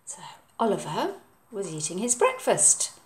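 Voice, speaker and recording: A woman reading a children's story aloud in a measured, expressive way. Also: mid-range voice, slight background noise. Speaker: storybook reader.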